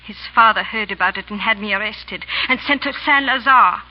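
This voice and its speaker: An actress playing a proud, impassioned young wife of an English lord in 1930s radio drama, pleading and declaiming. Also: female voice, mid-range voice, a little fast, close to an everyday voice. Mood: pleading, wounded. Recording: dull, hissy, crackling 1930s radio recording.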